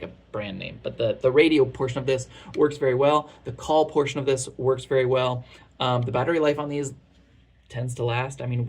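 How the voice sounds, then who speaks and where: A man's voice: fairly high pitch, vocal fry. Chatty man giving a casual review. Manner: chatty, informal, candid. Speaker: product reviewer.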